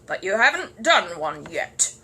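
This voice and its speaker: A woman's voice: on the low side, sing-song, a little slow, bright, forceful tone, slight background noise, heavily acted. A woman voicing a mean, nosy male neighbour in a storybook, with a grudging, knowing delivery. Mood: grumbling.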